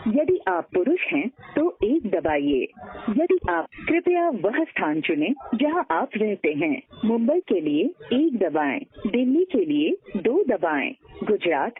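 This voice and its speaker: Casting: woman for automated phone menu, formal scripted prompts, animated intonation, tinny telephone recording. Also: medium pitch. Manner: formal, instructional. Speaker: automated phone-line announcer. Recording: tinny band-limited phone line recording.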